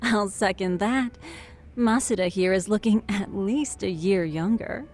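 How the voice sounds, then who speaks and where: A woman voicing a playful, flattering, worldly barroom confidante in a video game English dub, coquettish and teasing. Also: medium pitch, a little slow, slight background noise, natural voice. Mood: flirty teasing.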